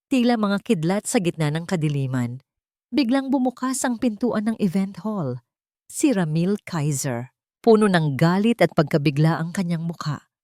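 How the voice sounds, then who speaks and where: A woman's voice: on the low side, expressive, normal speed, darkish tone, no echo, clean studio sound. A woman storyteller reading scripted love-story drama in a solemn, dramatic manner.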